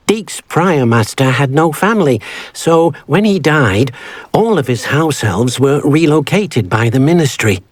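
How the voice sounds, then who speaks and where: A man's voice: fairly high pitch, expressive, measured pace, no room sound. An actor playing a small, eager male house elf in a video game, who talks of himself in the third person in a fussy, polite way. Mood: wistful. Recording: faint game music behind.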